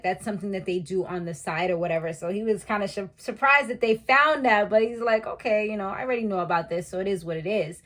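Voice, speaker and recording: A woman in her thirties casually recapping a reality show, chatty and slangy, laughing at her own asides. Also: medium pitch. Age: 30s.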